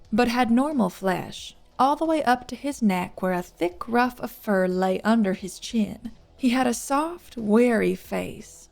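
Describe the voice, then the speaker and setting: A woman's voice: a little high, gravelly. A woman narrating a scripted fantasy story as an audiobook reader.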